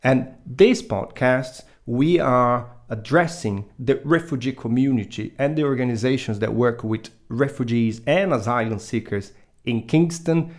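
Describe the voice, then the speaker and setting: A man hosting an interview podcast, introducing the show and his guest in a conversational way. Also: medium pitch. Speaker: podcast host.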